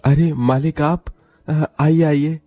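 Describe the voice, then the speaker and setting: An actor playing a humble, dutiful young son of a poor family in a story, earnest and deferential. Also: male voice, on the high side, steady tone, slow pace, warm tone. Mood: humble, troubled.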